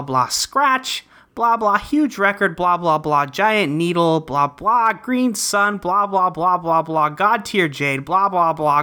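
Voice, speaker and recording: A woman's voice: on the low side, pushed and bright, heavily acted. A woman voicing an earnest, rambling teenage boy in a webcomic dub, breezily recounting his adventures. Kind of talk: character dub reading of a webcomic.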